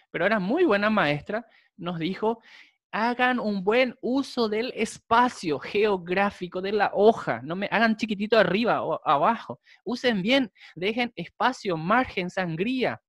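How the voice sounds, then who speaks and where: A young adult man, a Guarani speaker, preaching a sermon, with slow, emphatic repetition. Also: high-pitched, expressive, a bit bright, no echo, clean studio sound. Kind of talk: sermon with prayer.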